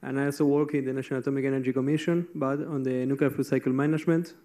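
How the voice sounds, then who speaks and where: A man's voice: medium pitch, flat delivery, very fast, dark tone. A man from Argentina who works in fuel cycle management, introducing himself hesitantly into a handheld mic. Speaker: nuclear fuel cycle specialist. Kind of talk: self-introduction.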